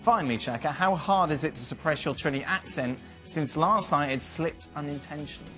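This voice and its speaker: A man hosting a television football show in casual studio chat, bantering and quick to laugh. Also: on the high side, bright, forceful tone, faint music behind. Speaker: show host.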